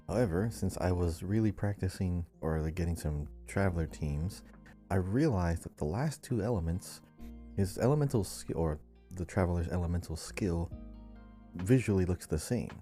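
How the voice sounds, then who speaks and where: Man, deep voice, very mellow dark tone, casual gaming podcast co-host. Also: expressive, moderate pace, soft background music.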